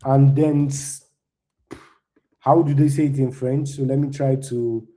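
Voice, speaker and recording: A man's voice: medium pitch, level tone, a little slow, mellow, low-quality recording. A young man from Nigeria, whose first language is not English, gives a loose, conversational live demo talk and keeps tagging his points with "right?". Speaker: conference speaker.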